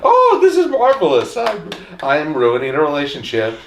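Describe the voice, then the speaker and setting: A man's voice: on the high side, huge pitch swings, normal speed, recorded from a distance, a little hiss or hum. A man co-hosting a fan discussion show with playful, teasing, theatrical banter. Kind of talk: casual joking banter. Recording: echoey room, off the mic.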